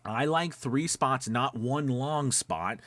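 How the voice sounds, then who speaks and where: Guy coaching in a casual chatty way, emphatic, keeps checking you follow, mid pitch, really dry room. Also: slow pace, a bit bright, no room sound. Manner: conversational, emphatic, often checks in with listeners. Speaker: voice-over coach. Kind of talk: casual instructional talk, explaining step by step.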